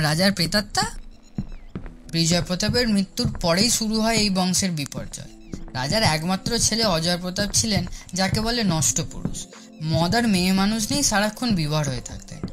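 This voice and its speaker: Female actor as colloquial, scoffing cartoon storyteller figure, medium pitch, light music bed. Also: lightly acted. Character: gossipy palace guard or brash dismissive young friend.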